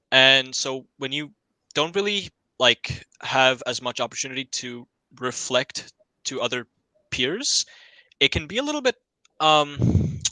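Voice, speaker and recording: A man's voice: medium pitch, sharp tone, dry recording, rough recording. A young man giving casual, reflective answers as a panel guest, thinking aloud and often checking with tag questions. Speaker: self-learner guest on an education panel.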